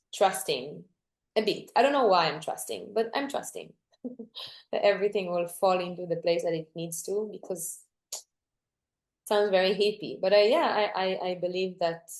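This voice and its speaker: A young woman from Greece, a dancer, talking reflectively in an interview, often restarting and searching for words. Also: fairly low pitch. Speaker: guest dancer.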